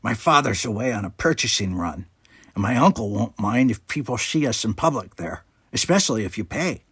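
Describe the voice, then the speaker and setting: A man's voice: fairly low pitch, expressive, a little fast, fairly bright tone, husky, no room sound, exaggerated voice. A man acts out a young alien schoolboy from a space storybook, full of schemes and grumbles. The delivery is exclamatory, and the sound is very dry. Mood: sly, assured. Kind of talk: acted dialogue in audiobook reading.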